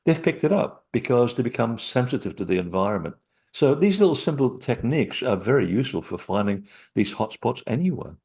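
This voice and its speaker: A man from Portugal, a guest author, telling digressive stories in an animated way from his small apartment living room over a computer call. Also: medium pitch, a little fast, breathy edge. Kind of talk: rambling storytelling interview.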